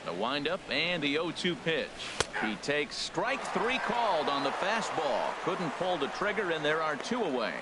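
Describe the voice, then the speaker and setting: A man playing a play-by-play baseball announcer in a video game, with a measured, polished delivery. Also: on the high side, big pitch swings. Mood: steady, attentive. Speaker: play-by-play sports commentator. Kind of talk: scripted baseball commentary. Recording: loud stadium crowd noise over voice. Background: baseball stadium crowd in a video game.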